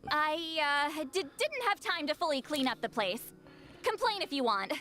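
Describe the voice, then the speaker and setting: A woman's voice: sits high, big pitch swings, moderate pace, sharp tone, a little hiss or hum, full character voice. A woman playing a proud, aloof great shaman in an anime game, haughty and easily flustered. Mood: defensive pride. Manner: haughty, hesitant when flustered.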